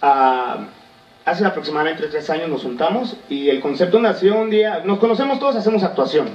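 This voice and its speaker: A man's voice: a little high, lively intonation, brisk, a little mellow. A man from Michoacán, a band member promoting his group, talking eagerly into a handheld mic. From Michoacán, Mexico. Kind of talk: promotional interview talk. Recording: roomy, distant, dull sound.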